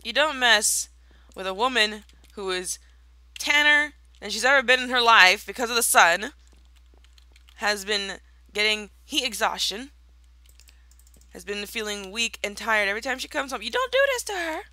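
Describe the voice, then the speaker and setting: A young woman giving casual commentary over a block-building game, slightly raspy from just waking up, playful and mock-villainous in a theatrical way. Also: fairly high pitch, expressive, moderate pace, pushed and bright, no echo. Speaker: Minecraft let's player.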